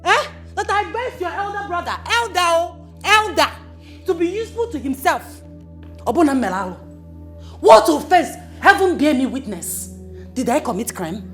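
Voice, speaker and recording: A woman playing a sharp-tongued, defiant wife who scolds and mocks. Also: very high voice, animated, moderate pace, faint music behind. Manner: mocking, scolding.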